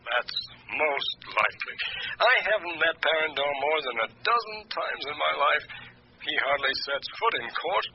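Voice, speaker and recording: High-pitched, gossipy, rambling lawyer. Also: male voice, lively intonation, normal speed, creaky. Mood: genial, gossipy. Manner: hesitant, rambling, wry. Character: chatty, gossipy French lawyer, worldly older man. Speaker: supporting actor in a radio drama. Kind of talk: radio drama acting. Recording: muffled, noisy old radio recording.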